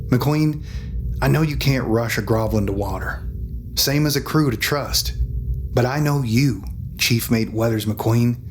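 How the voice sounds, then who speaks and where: A man's voice: mid-range voice, faint music behind, lightly acted. Weary old space cowboy officer, acted by a man. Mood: earnest. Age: older. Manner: halting, sighing, confessional. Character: gruff, haunted grandfather alien cowboy officer with stone-plated skin. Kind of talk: science fiction audio drama acting.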